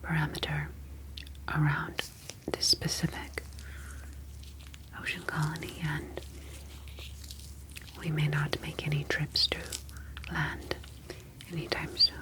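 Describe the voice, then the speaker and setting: A woman voicing a calm, clinical ocean alien scientist in an ASMR sci-fi roleplay, whispering breathily with formal, measured phrasing. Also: low voice, poor audio. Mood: apologetic, explaining.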